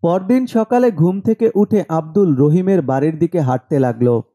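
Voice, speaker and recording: A man narrates a scripted horror tale in a high but very dark, muted voice. Faint music plays behind him. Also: moderate pace. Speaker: storyteller.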